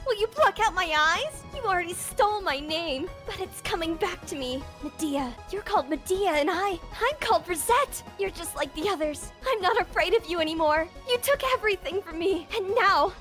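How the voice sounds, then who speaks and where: A woman's voice: very high voice, expressive, normal speed, hardly any pauses, fairly bright tone. A woman playing a lonely, defiant young girl in a dramatic, emphatic visual novel dub. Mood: angry, defiant. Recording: music under the voice.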